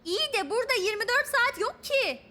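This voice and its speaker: A woman's voice: very high-pitched, expressive, fairly quick, sharp tone, heavily acted. A woman voicing a practical, worried little child in a children's cartoon, insistent and questioning. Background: cartoon background music with jingles.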